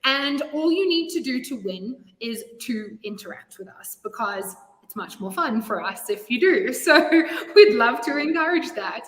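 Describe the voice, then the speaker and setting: Upbeat woman host, slightly high voice, laughs a lot, roomy echoey sound. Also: lively intonation, normal speed, hardly any pauses. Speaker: host and CEO. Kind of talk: casual live-stream hosting. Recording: echoey room with long reverb.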